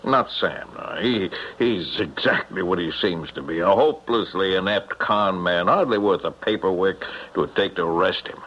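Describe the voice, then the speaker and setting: An actor playing a shrewd, wryly amused police captain in a radio drama, speaking deliberately with measured hesitations. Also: male voice, low voice. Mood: grave, confiding. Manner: deliberate, wry, hesitant pauses. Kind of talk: old-time radio drama acting. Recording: muffled, noisy radio drama recording.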